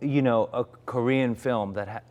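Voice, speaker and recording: A man's voice: mid-range voice, normal speed, a little mellow. A man in his forties, an actor, reflecting candidly in conversation, often restarting his sentences. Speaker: actor, interview guest.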